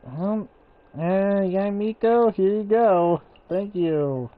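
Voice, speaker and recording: Man streamer, slow casual game chatter; tinny line. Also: high-pitched. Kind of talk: casual gameplay commentary. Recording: tinny phone-like audio.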